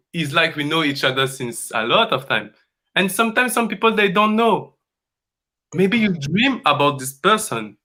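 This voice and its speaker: Forceful man, earnest coaching talk. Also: fairly high pitch. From Congo. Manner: earnest and emphatic, repeats phrases, halting non-native English. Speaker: dance coach.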